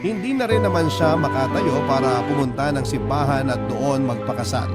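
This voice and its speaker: A man narrating a love story in the first person, earnest and steady, reading a scripted account. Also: on the high side. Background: loud music.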